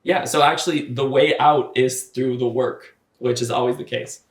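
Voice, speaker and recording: An earnest, talkative man in his thirties chats on a podcast, leaning on "like" as he works through his points. His voice sits at an ordinary middle pitch. The room around him rings with a noticeable echo. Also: fairly even intonation, moderate pace. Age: 30s. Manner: talkative, earnest, many "like" fillers. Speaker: artist, podcast guest. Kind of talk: casual podcast conversation. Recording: roomy echoey room sound.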